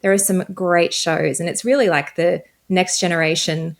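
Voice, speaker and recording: A woman in her thirties from Australia with a softened Australian accent, chatting upbeat and laughing easily as an interview guest. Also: lowish voice, fairly even intonation, moderate pace, vocal fry. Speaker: guest, tech creator. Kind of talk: casual interview chat.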